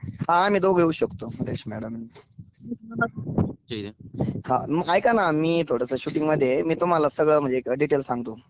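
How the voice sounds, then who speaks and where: A man's voice: fairly high pitch, animated, normal speed, long pauses. A man with a Maharashtrian accent in a casual phone conversation, answering in brief, noncommittal replies. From Maharashtra, India. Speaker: actor answering a phone call. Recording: dull lo-fi phone call.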